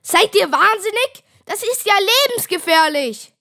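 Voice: extremely high pitch, lively intonation, moderate pace, creaky, sharp tone, heavily acted. A child of about ten acting a timid, easily frightened boy in an amateur children's radio play, with anxious, emphatic delivery. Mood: alarmed refusal.

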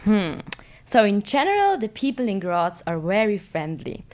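A twenty-three-year-old woman from Switzerland, a student who chats in a lively way with quick restarts. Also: mid-range voice. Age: 20s. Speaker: student guest. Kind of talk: casual chat.

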